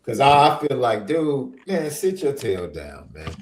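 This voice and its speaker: A man with a stuffy summer cold hosting a casual sports podcast, animated and laughing often. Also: medium pitch, unhurried, a little hiss or hum. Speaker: podcast host and minister. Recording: roomy echo.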